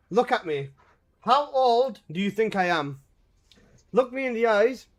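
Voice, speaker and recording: A man in his twenties, a game streamer chatting casually and jokingly with his live chat, swearing often. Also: high voice. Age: 20s.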